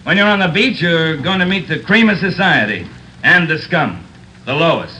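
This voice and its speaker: A man's voice: a little high, lively intonation, moderate pace, pushed and bright, a little hiss or hum, rough recording. An actor playing a veteran police captain in his fifties, lecturing recruits in a blunt, dry way in a 1950s radio crime drama. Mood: earnest.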